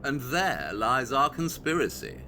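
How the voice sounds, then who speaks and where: A man's voice: medium pitch, sing-song, a little slow, pushed and bright, faint music behind, full character voice. An actor playing a brilliant, self-assured detective in an audio drama, precise and dryly condescending as he lays out his deductions. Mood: matter-of-fact. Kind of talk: audio drama acting, expository dialogue.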